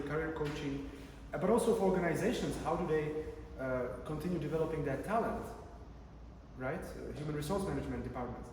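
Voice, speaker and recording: A man's voice: fairly high pitch, a little mellow. Man presenting in an echoey hall. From Czech Republic. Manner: conversational, many fillers and restarts. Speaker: conference presenter, PhD researcher. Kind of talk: academic conference talk. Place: large conference room. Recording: echoey hall from far off, room noise.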